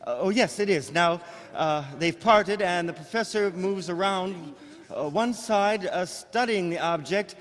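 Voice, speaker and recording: Actor as a high-voiced, stammering radio reporter, horrified. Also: male voice, measured pace, fairly bright tone. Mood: shaken, urgent. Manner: breathless, stammering, hesitant live commentary. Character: excitable, horrified male radio news reporter at a disaster scene. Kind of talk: old-time radio drama eyewitness reporting. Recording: echoey hall, stage radio play.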